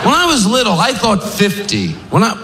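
A man's voice: high voice, wildly swooping pitch, normal speed, heavy background noise. A man performing a stand-up routine, mimicking others in squealing voices. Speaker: stand-up comedian. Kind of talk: stand-up routine before a live crowd. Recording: live club set, roomy with loud crowd. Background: laughing audience.

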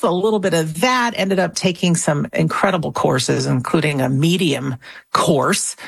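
A middle-aged woman, a guest on a radio interview, telling her story in an earnest, animated way. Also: sits low, creaky, breathy edge, no room sound. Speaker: guest author.

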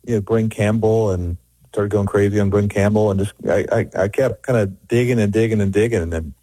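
A man's voice: fairly low pitch, steady tone, a little fast, dark tone, slightly rough, no echo. A middle-aged man, a record producer, talking in an interview with many restarts and fillers. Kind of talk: conversational interview.

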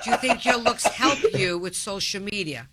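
A woman's voice: mid-range voice, animated, moderate pace, heavy background noise. A woman hosting a radio interview, blunt and teasing, joking with her guest. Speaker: radio host.

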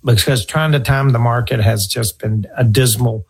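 Gravelly, husky middle-aged man. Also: mid-range voice, normal speed. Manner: earnest, storytelling, repeats himself. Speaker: financial advisor radio host. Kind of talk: conversational advice talk.

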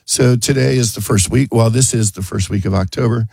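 A man's voice: medium pitch, brisk, gravelly, a little mellow, breathy edge, no echo. A man hosting a gardening radio show while getting over a cold, chatting casually and joking between chuckles. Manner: joking, rambling, chuckles often. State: has a cold.

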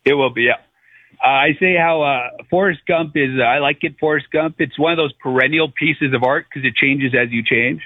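A man's voice: mid-range voice, no echo. Man on a tinny phone line. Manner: rambling, halting, jokey. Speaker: caller, comedian. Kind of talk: casual chat.

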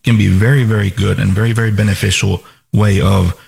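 Male financial advisor from Finland, radio guest; gravelly, deep-ish, measured delivery with restarts. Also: little pitch movement, darkish tone. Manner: measured, many restarts and fillers. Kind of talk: radio call-in talk.

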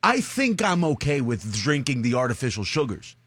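Dude cohost tossing out dry one-liners, dead dry mic sound. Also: male voice, mid-range voice, normal speed, slightly rough, dry recording. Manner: dry wisecracks, animated storytelling. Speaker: co-host. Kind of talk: casual banter.

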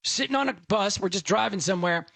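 A man from Texas hosting a tech radio show, talking fast and animated in a casual monologue. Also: sits high, creaky, dry recording.

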